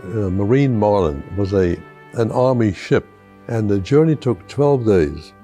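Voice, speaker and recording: A man's voice: lowish voice, expressive, a little slow, mellow, faint music behind. An older man from Austria recalling his journey in a chatty interview, asking rhetorical questions. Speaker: survivor interviewee. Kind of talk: recorded interview.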